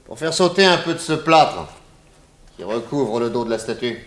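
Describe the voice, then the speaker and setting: An actor playing a bold, shrewd detective with an assured, grave delivery in a 1960s radio drama on a noisy old recording. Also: male voice, mid-range voice, lively intonation, normal speed, a bit bright, natural voice. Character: bold, shrewd male detective, confident boss to his assistant.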